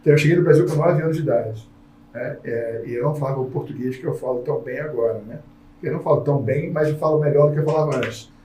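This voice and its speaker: A man hosting a casual podcast conversation, a lively storyteller who acts out the people in his anecdotes. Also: a little high, quick pace, slight background noise. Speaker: podcast host. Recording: roomy sound from a distant mic.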